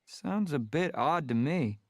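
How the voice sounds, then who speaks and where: A man putting on a lazy, squeaky house tomcat. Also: sing-song, normal speed, creaky, a little mellow, no echo, clean studio sound. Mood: worried. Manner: high eager squeaky mewing.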